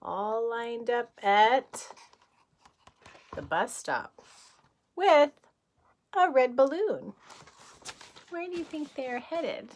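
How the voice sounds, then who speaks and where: A woman reading a picture book aloud as a kindergarten teacher, gently and expressively, with questions to the listeners. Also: a little high, animated, drawn-out words, pauses often.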